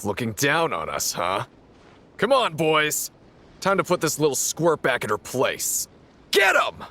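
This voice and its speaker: An actor playing a cocky, mocking male gang thug in a video game, with a gravelly voice. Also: on the high side, huge pitch swings, a little slow, pushed and bright, full character voice. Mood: scornful. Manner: mocking, taunting. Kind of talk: video game character acting.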